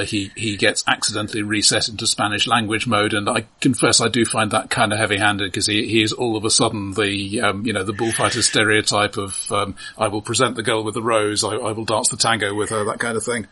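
A man's voice: on the low side, flat delivery, fairly quick, few pauses, pushed and bright, breathy edge. A man co-hosting a casual film discussion, hesitant, often stammering as he starts a thought. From Europe. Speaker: podcast co-host.

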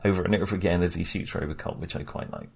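A man with a low, slightly husky voice talks through a film with a co-host. He rambles, restarts his sentences and chuckles between points in an easy, casual way. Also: steady tone, very fast, a little mellow. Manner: rambling, restarts often, laughs while talking. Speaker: podcast co-host.

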